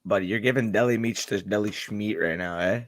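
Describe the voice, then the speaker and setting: A young adult man with a hoarse voice, co-hosting a casual livestream and teasing playfully with lots of slang. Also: fairly low pitch, a little fast, no echo, studio quality. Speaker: co-host.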